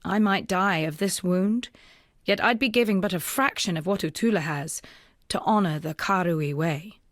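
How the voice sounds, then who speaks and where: An actress voicing a weary, earnest young rebel woman, a wounded freed slave, in measured, solemn video game dialogue. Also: on the low side, no room sound, studio quality, close to an everyday voice.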